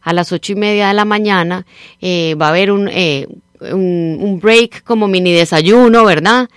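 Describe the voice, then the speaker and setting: A middle-aged Colombian woman with a slightly mixed Nicaraguan accent, speaking as a radio guest and time-management trainer in an animated, persuasive coaching tone. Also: mid-range voice, normal speed, clean tone, dry recording, clean studio sound. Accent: Colombian Spanish mixed with Nicaraguan. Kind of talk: radio interview, promoting a seminar.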